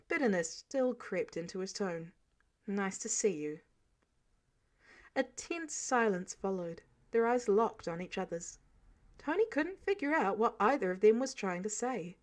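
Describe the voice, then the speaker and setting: A woman's voice: medium pitch, very animated, moderate pace. A woman reading a story aloud as scripted audiobook narration. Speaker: amateur audiobook reader.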